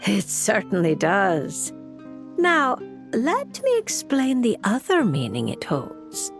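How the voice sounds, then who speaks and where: An enigmatic woman fortune teller for a game. She speaks slowly and musingly with a wide, lilting range, composed and gently teasing, with music underneath. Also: medium pitch, huge pitch swings, exaggerated voice. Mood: musing, mysterious. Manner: measured, poised, musing. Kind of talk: video game voice acting.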